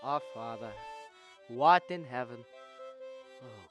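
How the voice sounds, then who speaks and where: A woman's voice: very deep voice, slow pace, music in the background. A teenage girl playing a desperate, ruined young businessman in a radio drama, stammering, hesitant and pleading. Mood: despairing prayer.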